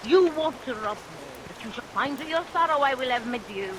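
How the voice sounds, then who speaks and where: A bitter villain's accomplice in an old radio melodrama. Her voice is high and sweeps up and down theatrically, with clear rain noise over the vintage recording. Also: animated, fairly quick. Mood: outraged, threatening. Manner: theatrical, indignant, declamatory. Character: bitter, defiant female accomplice of a murderer, melodramatic.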